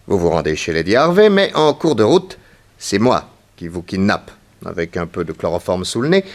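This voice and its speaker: A confident private detective from a 1960s French radio serial. His low voice swings expressively as he takes charge and explains things with theatrical authority. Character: confident, commanding male private detective hero.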